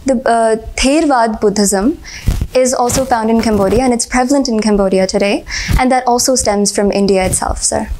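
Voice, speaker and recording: A young woman in her twenties with an American accent and a Hindi-speaking home, answering interview questions politely, often saying "sir" and pausing on "uh". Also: on the high side, a little mellow, a little hiss or hum, rough recording. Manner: polite, says sir often, frequent uh fillers, light laughs. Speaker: interview candidate. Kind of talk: formal mock interview answers.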